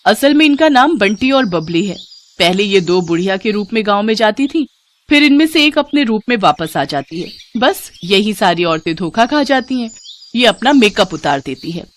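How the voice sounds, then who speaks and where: Woman playing a sharp, animated young niece. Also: mid-range voice, moderate pace, natural voice. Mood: indignant. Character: sharp, indignant young niece exposing swindlers.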